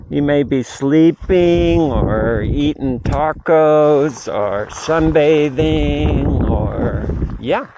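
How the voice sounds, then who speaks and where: A middle-aged man chatting casually outdoors as he vlogs, rambling and musing aloud to himself. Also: fairly high pitch. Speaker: vlogger and amateur radio operator. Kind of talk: casual outdoor vlog chat. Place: outdoors on a mountain bike trail. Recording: muffled outdoor audio with clear background noise.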